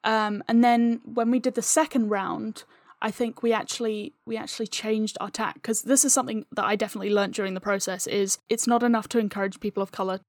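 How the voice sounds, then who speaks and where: A woman's voice: on the high side, fairly even intonation, a little fast, hardly any pauses, no echo. Earnest woman, dry close sound. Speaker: podcast guest, audio drama writer. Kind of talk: conversational interview answers.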